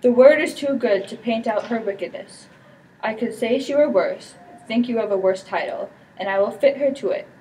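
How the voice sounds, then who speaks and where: A woman's voice: a little high, expressive, moderate pace, warm tone, close to an everyday voice. A teenage girl playing a scheming, slanderous nobleman in an amateur Shakespeare performance. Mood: coldly accusing. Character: scheming, slanderous villain, the prince's brother. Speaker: student performer. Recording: distant mic with background noise.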